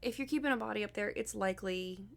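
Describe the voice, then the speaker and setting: Wry woman in her thirties, gravelly edge. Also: medium pitch, fast talker. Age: 30s. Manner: wry, dry quips. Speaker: co-host. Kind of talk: casual podcast chat.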